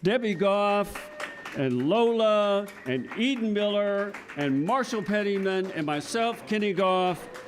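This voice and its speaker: A man's voice: sits high, expressive, takes it slowly. A man hosting a children's audio theater show, announcing to a live family audience.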